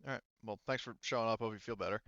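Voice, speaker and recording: A man working as game master, narrating a tabletop roleplaying game in a halting, informal way. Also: on the low side, steady tone, a bit bright, no echo, dull audio. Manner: halting, informal, talks around points.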